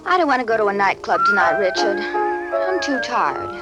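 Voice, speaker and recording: An impatient, weary American girlfriend from a 1940s radio play. Her high voice swoops dramatically, and music plays loudly behind her on the old broadcast. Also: very animated, moderate pace, rough recording. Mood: weary, coaxing. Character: tired, impatient girlfriend of a private detective.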